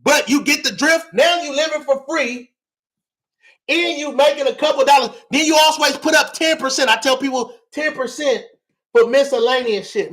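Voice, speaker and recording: Man teaching on a livestream, real high voice, yelling a lot, repeats himself, voice going strained. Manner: loud, often yelling, repeats phrases, asks the audience for replies. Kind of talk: fired-up livestream lecture.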